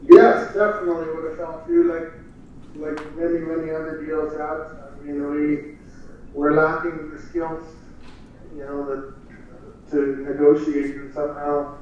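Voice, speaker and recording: A man giving a casual testimonial over the phone in halting, hesitant phrases, played into a room. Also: fairly high pitch, darkish tone, distant mic, slight background noise. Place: phone call heard over room speakers. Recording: dull, very echoey phone call played into room.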